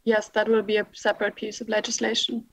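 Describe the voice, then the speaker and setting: Flat-toned woman giving a policy briefing. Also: mid-range voice, rough recording. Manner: measured, with frequent pauses and filler sounds. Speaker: policy official at a panel discussion. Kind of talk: formal briefing answer. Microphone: video call.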